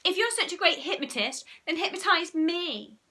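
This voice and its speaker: A woman's voice: very high-pitched, animated, moderate pace, pushed and bright, voice pushed up, heavily acted. A woman voicing a moody, bossy young girl with a snappish, haughty manner in a children's storybook. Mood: scornful.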